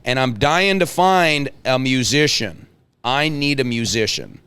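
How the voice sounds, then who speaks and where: A man's voice: mid-range voice, lively intonation, unhurried, sharp tone, clear, resonant tone. A man hosting a casual talk show, chatting in a folksy way and prodding guests with questions. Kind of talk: casual chat, interviewing guests.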